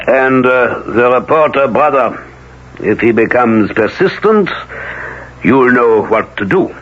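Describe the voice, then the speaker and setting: An actor playing a controlling, self-assured elder in a 1970s radio drama, husky, precise and coolly sinister. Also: male voice, medium pitch, lively intonation, slow, background noise. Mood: coolly scheming. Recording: dull old radio recording.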